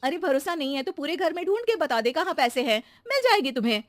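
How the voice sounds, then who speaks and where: A woman's voice: high-pitched, very animated, fast talker, no room sound, voice pushed up. A woman voicing a level-headed housewife who teases her maid with mock-serious logic, in a cartoon story. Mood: teasing. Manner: sly, mock-serious teasing.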